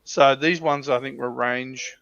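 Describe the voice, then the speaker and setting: A man's voice: mid-range voice, fairly even intonation, unhurried, gravelly, fairly bright tone, muffled recording. An Australian man chatting casually on a hobby livestream about military vehicles.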